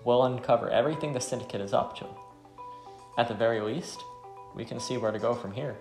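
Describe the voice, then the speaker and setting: A man's voice: mid-range voice, brisk, natural voice. A man voicing a resolute, regretful, war-weary wanderer in a visual novel. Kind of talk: visual novel dialogue read aloud. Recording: roomy sound, faint music.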